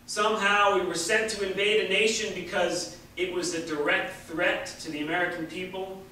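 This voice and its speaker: A man giving a deliberate, emphatic reading of a written political essay at a public event. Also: high voice, fairly even intonation, bright, forceful tone. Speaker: reader at a staged public reading. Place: large indoor hall at a public event. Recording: echoey hall from far off, faint noise.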